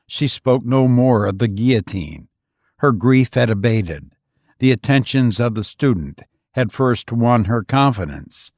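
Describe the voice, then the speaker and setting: A man narrating an old literary story as an audiobook reader, in a measured, solemn storytelling manner. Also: medium pitch, no room sound, clean studio sound.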